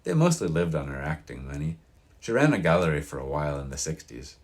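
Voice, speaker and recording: A man's voice: rumbling low, sing-song, fast talker. A man voicing an opinionated elderly small-town local in an audiobook, with a grating, folksy, emphatic delivery. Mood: wistful, chatty. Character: crusty old mountain-town man, proud, opinionated, wistful. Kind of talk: audiobook character reading.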